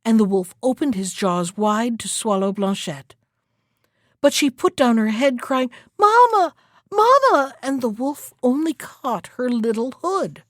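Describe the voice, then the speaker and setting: A woman storyteller narrating a folktale in an expressive, theatrical way. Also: fairly high pitch, measured pace, dry recording, clean studio sound.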